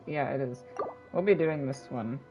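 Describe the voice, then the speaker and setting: A man's voice: mid-range voice, normal speed, rough recording. A man giving casual, offhand livestream commentary on a game, a little hesitant. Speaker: game streamer. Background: game music.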